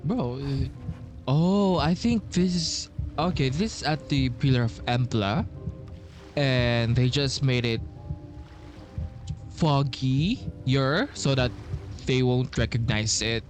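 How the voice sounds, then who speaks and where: A man giving casual playthrough commentary, reacting haltingly and muttering to himself. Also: mid-range voice, soft background music. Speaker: gameplay streamer.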